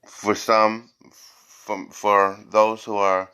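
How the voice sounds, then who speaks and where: A man's voice: on the low side, flat delivery, extremely slow, sharp tone, clear, resonant tone, low-quality recording. A man reading tarot cards for viewers in an informal, improvised way, blunt and emphatic, repeating phrases and swearing at times. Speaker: tarot and psychic reader hosting a reading.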